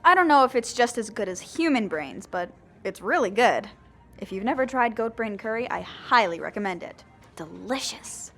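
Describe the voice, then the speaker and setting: A woman's voice: on the high side, lively intonation, moderate pace, a bit bright, faint music behind. A woman playing a young female zombie who narrates her own story in an earnest, plain audio drama style. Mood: wry. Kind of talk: first-person scripted narration.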